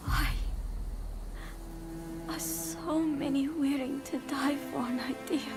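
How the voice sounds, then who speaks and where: Very high-pitched grieving young woman. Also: fairly even intonation, slow pace, vocal fry, exaggerated voice. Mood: grieving, tearful. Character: grieving young woman mourning war dead, gentle, disillusioned. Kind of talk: dramatic video game cutscene acting. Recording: loud music over the voice.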